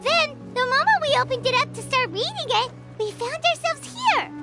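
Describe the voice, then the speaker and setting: Tiny pouty game fairy: extremely high, squeaky, animated, music behind. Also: female voice, normal speed. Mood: indignant. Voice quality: squeaky, childlike. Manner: indignant, refers to self in third person. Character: Paimon, tiny childlike fairy companion, excitable and pouty. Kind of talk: video game character acting.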